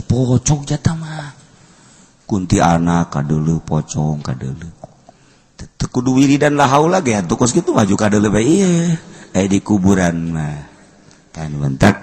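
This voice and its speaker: Husky older Sundanese preacher. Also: male voice, sits low. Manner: animated storytelling, shouting and laughing at his own jokes. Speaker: Islamic preacher. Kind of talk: humorous Sundanese sermon.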